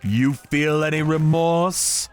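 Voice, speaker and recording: A man's voice: on the high side, fairly even intonation, slow pace, smooth, faint music behind. A man voicing a cold, mocking torturer in a cartoon story, drawing out his menacing taunts.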